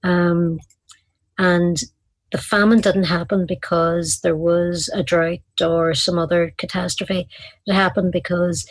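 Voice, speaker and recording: An Irish woman in her thirties speaks over a video call, dry and close with no room sound. Her voice sits fairly low and stays flat, and she talks earnestly, pausing often on ums and restarting her phrases. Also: no echo. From Ireland. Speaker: guest, community activist. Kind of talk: explaining history in an interview. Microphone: video call webcam.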